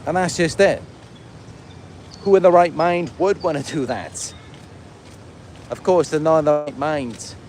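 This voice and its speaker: A man from Wales with a Welsh accent, talking casually and a little haltingly in a rambling livestream monologue. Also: on the high side, a little mellow. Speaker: livestream host and content creator. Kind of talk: casual livestream monologue, answering viewer comments.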